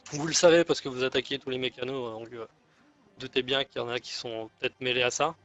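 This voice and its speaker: A man's voice: mid-range voice, fairly even intonation, fast, a bit bright, close to an everyday voice. A man playing a deferential gang negotiator in an online roleplay, speaking in a hesitant, rambling, conciliatory way. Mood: earnest, conciliatory. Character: deferential male gang negotiator offering an alliance. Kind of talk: improvised online roleplay dialogue. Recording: muffled lo-fi game voice chat.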